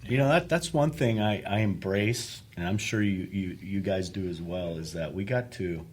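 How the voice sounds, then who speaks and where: Man, middle-aged, from Argentina, husky, rambling casual chat. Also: low voice, moderate pace, darkish tone. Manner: rambling, many restarts. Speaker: lead guitarist.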